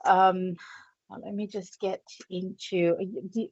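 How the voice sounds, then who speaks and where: A woman's voice: mid-range voice, little pitch movement, unhurried, darkish tone, no echo. A woman with a Yorkshire accent giving a psychic reading, thinking aloud with many fillers and restarts. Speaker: psychic medium. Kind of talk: live psychic reading on air. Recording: dull lo-fi sound.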